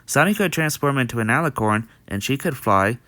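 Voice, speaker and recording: A man's voice: medium pitch, animated, fairly quick, bright, forceful tone, dry recording. A man playing a friendly, dutiful young male pony in an amateur comic dub, explaining things in an earnest, read-out way. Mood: earnest, pondering.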